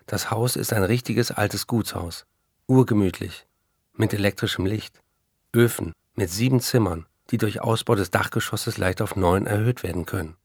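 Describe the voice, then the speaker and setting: Troubled writer, restless, gravelly, dry close studio sound, radio play narration. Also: male voice, on the low side, moderate pace, a little mellow, no room sound. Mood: earnest, composed. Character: addicted male writer, restless, narrating his life and letters. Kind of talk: literary radio drama monologue.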